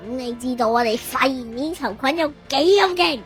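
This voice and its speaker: A woman's voice: sits high, normal speed, soft background music, close to an everyday voice. A woman with a Hong Kong Cantonese accent voicing a boastful bacterial monster, a manga villain who panics easily. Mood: gloating.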